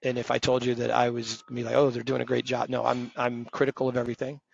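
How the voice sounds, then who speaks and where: Gravelly fifty-something man, emphatic. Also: mid-range voice, completely flat delivery, fast talker, muffled recording. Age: 50s. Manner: emphatic, direct, self-described loud voice. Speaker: podcast guest, retired firm partner. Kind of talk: conversational interview.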